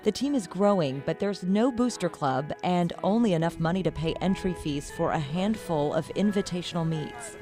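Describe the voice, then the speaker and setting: A woman TV news reporter reading a scripted story in a measured, steady voice. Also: on the low side, soft background music.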